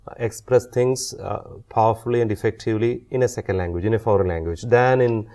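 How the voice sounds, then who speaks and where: A man from India interviewing a writer, asking questions haltingly and repeating words as he forms them. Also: on the low side, fairly even intonation, dark tone.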